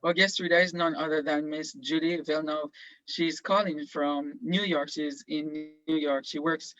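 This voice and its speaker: Bright-voiced man hosting, slightly lo-fi. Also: fairly high pitch, fairly even intonation, normal speed. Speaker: host. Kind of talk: casual event hosting. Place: online video call.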